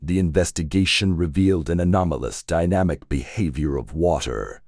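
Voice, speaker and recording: Man, very low bass voice, scripted read-aloud, dry close sound. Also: normal speed, a little mellow, dry recording. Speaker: reader of English test practice passages.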